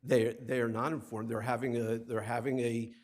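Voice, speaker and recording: A man's voice: medium pitch, flat delivery, moderate pace, a little mellow. A man arguing an appeal before judges in a courtroom, speaking emphatically at a podium mic and often repeating words as he quotes case law. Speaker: appellate attorney. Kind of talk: oral argument.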